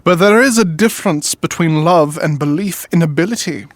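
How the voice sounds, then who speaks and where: A man's voice: a little high, normal speed, no echo, close to an everyday voice. A man playing a middle-aged royal lord with ambition in a modern audio drama, stately, formal and self-important. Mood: insistent. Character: ambitious, pompous royal uncle, the late king's brother.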